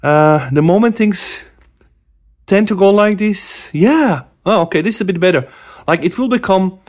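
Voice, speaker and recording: A middle-aged man giving a casual hands-on phone demo in an animated, exclamatory way. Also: high-pitched, normal speed. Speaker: tech reviewer. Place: small room at a desk, indoors.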